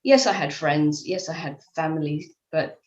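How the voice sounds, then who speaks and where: A woman's voice: low voice, animated, normal speed. A middle-aged woman from England, answering interview questions in a reflective, conversational way, often restarting her sentences. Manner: reflective, with frequent restarts and soft laughs. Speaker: author and life coach guest. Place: at home in Spain, remote call. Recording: echoey room, slightly dull line.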